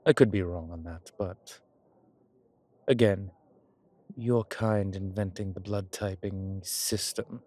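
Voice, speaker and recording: A man plays an ancient, courteous vampire with a deep voice. He speaks slowly and genteelly, pausing as he picks his formal, old-fashioned words. The delivery is intimate and affectionate, as in an audio roleplay addressed to one listener. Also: fairly even intonation, a little mellow. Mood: measured, explaining. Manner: genteel, measured, hesitant pauses, old-fashioned formal wording.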